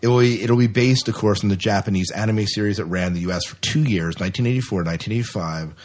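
A man's voice: fairly low pitch, quick pace, a little mellow. A man co-hosting a podcast, chatting casually about news and repeating himself for emphasis. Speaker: podcast co-host.

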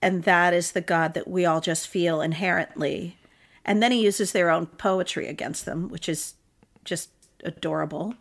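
A woman co-hosting a casual faith podcast, chatty and quick to joke. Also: fairly low pitch, fairly even intonation, creaky. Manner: chatty, jokes and laughs easily. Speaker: podcast co-host. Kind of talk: casual chat on faith and scripture.

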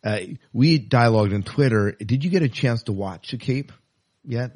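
A man's voice: mid-range voice, lively intonation, moderate pace, darkish tone. A man hosting a podcast interview, chatting informally and often giving short affirmations. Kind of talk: casual interview chat.

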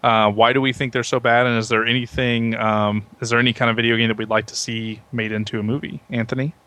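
A man's voice: medium pitch, flat delivery, fast talker, sharp tone. A man hosting a podcast, talking through his points in a casual group discussion and often rephrasing as he thinks aloud. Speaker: podcast host moderating a discussion.

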